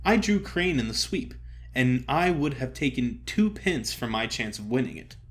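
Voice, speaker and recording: Guy doing a gushy theatrical older comedian type, grand emphatic flattery, really animated pitch, audio drama. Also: natural voice. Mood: awed. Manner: emphatic, drawn-out address, grand pronouncements.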